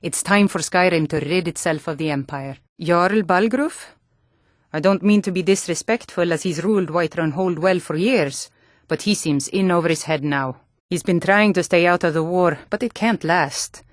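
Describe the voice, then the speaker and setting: Woman acting an earnest Nordic townswoman, low-voiced. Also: moderate pace, no room sound, studio quality, close to an everyday voice. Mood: firm, political. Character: earnest, protective Nord townswoman, no longer young, loyal to rebels. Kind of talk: fantasy video game dialogue acting.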